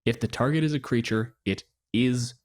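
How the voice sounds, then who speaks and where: A man co-hosting a casual podcast chat, reading out game rules in a measured, explanatory way. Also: mid-range voice. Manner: reads stat blocks in a measured, explanatory way. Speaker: podcast co-host.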